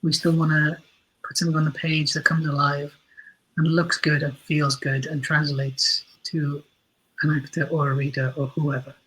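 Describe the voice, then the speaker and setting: A man giving reflective interview answers as a writer, in a halting, emphatic way, repeating phrases for stress. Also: on the high side, level tone, bright, forceful tone, husky, low-quality recording. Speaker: guest, audio drama writer.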